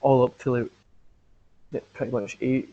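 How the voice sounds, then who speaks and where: Man, podcast host, casual chat, mellow warm tone, rambling with lots of fillers. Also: mid-range voice, steady tone, normal speed, lo-fi sound.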